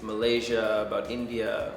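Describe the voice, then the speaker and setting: Man, low gravelly earnest voice. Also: little pitch movement, normal speed. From Jamaica. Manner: earnest, with frequent ums. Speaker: culinary student and chef. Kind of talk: interview testimonial. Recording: echoey room with background music.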